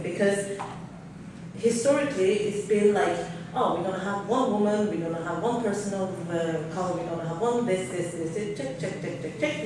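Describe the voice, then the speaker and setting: A woman from Norway speaking on a seminar panel, candid and thinking aloud with fillers, in a large hotel conference room. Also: medium pitch. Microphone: table mic heard from far off. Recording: very echoey room, far from the mic, noisy.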